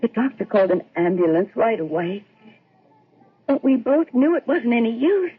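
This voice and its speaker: High-voiced, sorrowful bearer of news. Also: female voice, big pitch swings, moderate pace, breathy edge, exaggerated voice. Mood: sorrowful, apologetic. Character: sorrowful, apologetic woman bearing terrible news. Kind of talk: 1970s radio drama acting. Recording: thin, phone-like old radio sound.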